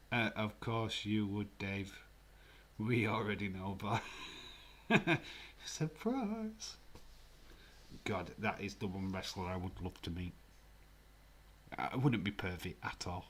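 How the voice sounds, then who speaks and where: A man with a Yorkshire accent chats on a live stream, joking and teasing his viewers in a fairly low voice. He talks slowly and easily, laughing now and then and sometimes slipping to a near whisper. A faint noise sits under the recording. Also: sing-song, a bit bright. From Yorkshire, England. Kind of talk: casual chat reading live comments.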